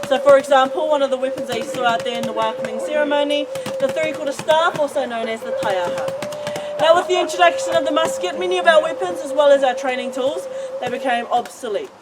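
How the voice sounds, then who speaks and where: A woman's voice: high voice, brisk. A woman demonstrating and explaining a traditional craft to visitors, checking in with "okay?". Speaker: cultural performer and guide. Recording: distant voice with loud background noise.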